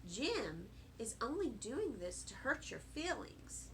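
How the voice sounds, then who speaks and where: A woman voicing a patient, coaxing mother in a children's storybook, speaking gently. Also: fairly high pitch, sing-song, slow pace, a little hiss or hum, full character voice. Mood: reassuring. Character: soft-spoken, patient, coaxing mother of a little girl. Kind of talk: children's storybook read-aloud.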